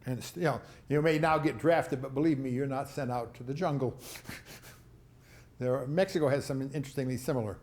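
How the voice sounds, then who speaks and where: A man's voice: mid-range voice, fairly quick, darkish tone, reverberant. A man giving a rambling talk on foreign policy, with chuckling asides, answering audience questions. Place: lecture hall.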